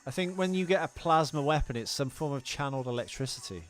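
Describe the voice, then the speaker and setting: A man's voice: on the high side, animated, fast, faint music behind. A British man co-hosting a podcast, explaining things informally and swearing freely in casual conversation. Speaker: podcast co-host.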